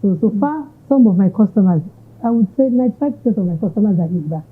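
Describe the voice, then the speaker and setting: A woman's voice: mid-range voice, expressive, quick pace, slightly rough. A Nigerian woman entrepreneur answering interview questions, switching between English and Yoruba phrases. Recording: tinny narrow-band audio.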